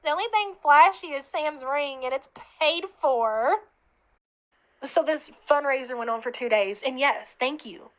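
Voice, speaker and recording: A woman's voice: sits high, a little slow, low-quality recording. A woman ranting on a livestream, exasperated and swearing heavily. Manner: profane and exasperated. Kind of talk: livestream rant.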